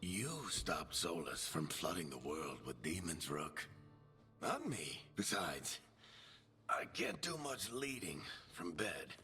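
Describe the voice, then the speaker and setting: A deep, wry wounded veteran. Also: male voice, very animated, takes it slowly, bright, forceful tone, faint music behind, heavily acted. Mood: earnest, encouraging. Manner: wry, encouraging. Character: wounded, wry, fatherly veteran companion resting in bed. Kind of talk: fantasy video game acting.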